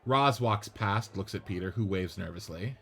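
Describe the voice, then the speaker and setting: Low-voiced man reading stage directions. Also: normal speed, slightly rough. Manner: steady, matter-of-fact reading. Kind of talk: script reading narration.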